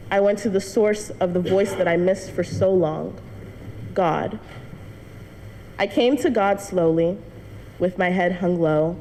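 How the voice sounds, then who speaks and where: A woman's voice: mid-range voice, a little mellow. A young woman giving an earnest personal testimony speech to a church congregation, telling her story with light laughs. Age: young adult. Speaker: student speaker addressing a church congregation. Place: church hall. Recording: long church reverb, faint noise.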